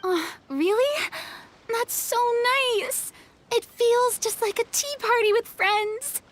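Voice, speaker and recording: A young woman with flair for drama and a very high, sing-song voice that swoops up and down. She speaks slowly and savours each line. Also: full character voice. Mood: eager. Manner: animated, theatrical, drawn-out. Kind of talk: game cutscene voice acting.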